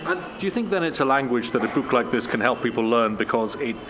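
A man hosting a radio interview, asking measured questions that often end in tag questions. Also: mid-range voice, expressive. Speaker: radio host. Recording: noticeable background noise.

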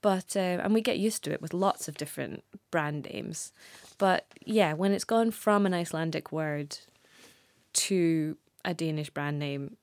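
A woman journalist, a guest on a podcast, telling stories in a lively, playful way and laughing often. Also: sits low, a little slow, no room sound. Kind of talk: casual conversational interview.

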